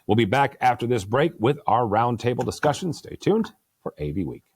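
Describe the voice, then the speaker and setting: A man with a deep voice hosting a news roundtable, speaking into a good studio microphone and dropping easygoing, joking asides. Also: sing-song, fairly quick, slightly rough, dry recording. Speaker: host.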